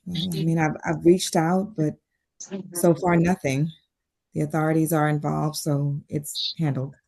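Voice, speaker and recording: Actress as controlled woman doctor, lower register, quiet challenge under a composed surface. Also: little pitch movement, unhurried, vocal fry, full character voice, rough recording. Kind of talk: table read of a screenplay.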